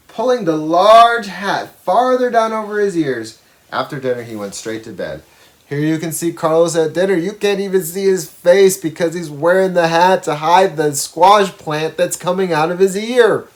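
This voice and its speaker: A man reading a children's storybook aloud expressively, with simple explanatory asides for young listeners. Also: a little high. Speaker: storybook reader.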